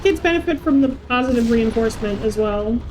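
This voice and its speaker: A woman's voice: on the high side, lively intonation, brisk, a little mellow. A woman streaming a game, chatting in a casual, playful way. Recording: voice chat with background game noise.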